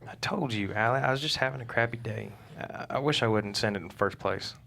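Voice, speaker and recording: Withdrawn sullen father, read by an actor, mid-pitched, dry delivery. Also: fast talker, creaky, sharp tone. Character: glum withdrawn middle-aged father Bert.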